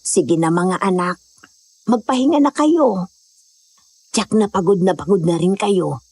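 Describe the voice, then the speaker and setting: A woman playing an older, motherly mountain villager in a horror audio drama, hosting guests with unhurried, faintly eerie hospitality. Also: medium pitch, lively intonation, darkish tone, a little hiss or hum.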